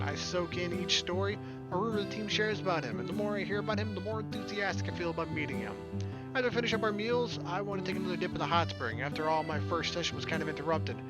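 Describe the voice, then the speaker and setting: Casting: male game streamer, high voice, informal narration of story text over clear game music. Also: rough recording. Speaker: let's player reading a visual novel aloud. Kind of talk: casual narration and dialogue reading.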